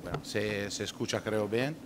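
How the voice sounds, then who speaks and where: A rather low-voiced Italian man presenting at a conference in his non-native Spanish. He talks haltingly, with frequent filler sounds and self-corrections. A faint noise sits underneath the recording. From Italy. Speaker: conference speaker, ornithologist.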